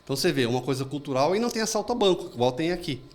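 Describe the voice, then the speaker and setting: Fast-talking man hosting. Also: fairly high pitch, expressive. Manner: fast, chatty storytelling with anecdotes. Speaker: podcast host. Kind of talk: casual interview chat.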